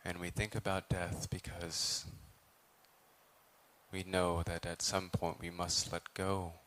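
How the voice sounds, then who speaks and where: A man with a deep voice leads a guided meditation. His intonation stays very even, with little rise or fall. He speaks as a teacher, in measured phrases separated by long pauses. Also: low-quality recording. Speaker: meditation teacher, Buddhist monk.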